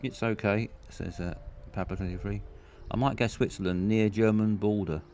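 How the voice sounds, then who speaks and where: Deep-voiced rambling male streamer. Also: moderate pace, a little mellow, a little hiss or hum. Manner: rambling, dry and self-mocking, reading out chat. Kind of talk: casual live-stream commentary.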